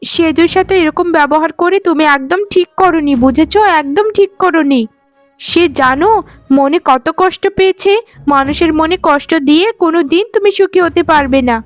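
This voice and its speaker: A woman's voice: extremely high pitch, moderate pace, no room sound. A woman voicing a protective, righteous elder sister-in-law in a cartoon, speaking in a firm, reproachful, concerned way. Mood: stern, reproachful. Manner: coaxing yet firm, reproachful. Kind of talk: melodramatic cartoon voice acting. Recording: music behind the voice. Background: music.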